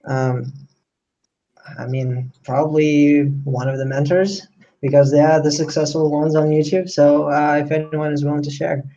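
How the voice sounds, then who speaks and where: A man's voice: on the high side, flat delivery, moderate pace, mellow. A man in his twenties from Russia, an entrant talking hesitantly on a video call. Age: 20s. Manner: hesitant, many fillers. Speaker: contest entrant. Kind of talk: casual video call chat. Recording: dull lo-fi call audio.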